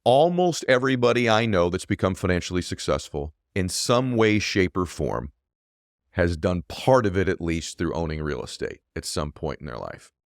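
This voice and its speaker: A man with a deep voice, hosting a podcast and giving earnest, emphatic motivational advice straight to the listener. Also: expressive, normal speed, gravelly, no echo, studio quality. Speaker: podcast host. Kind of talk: motivational monologue with ad read.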